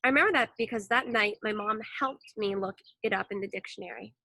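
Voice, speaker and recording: A woman reading a children's story aloud in an expressive narrating style. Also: medium pitch, brisk, gravelly, poor audio. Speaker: storybook reader.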